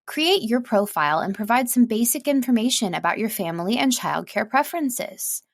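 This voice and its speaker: A woman with a fairly high voice reads a script as a professional narrator. Her delivery is measured and clearly articulated. The recording is very dry, with no room sound around the voice. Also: lively intonation, no echo. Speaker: voice-over artist and narrator.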